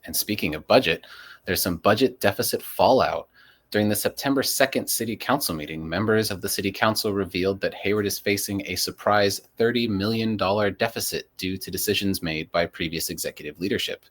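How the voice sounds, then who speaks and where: A man's voice: lowish voice, brisk, fairly bright tone. A man hosting a local news podcast, moving between reading headlines and casual discussion.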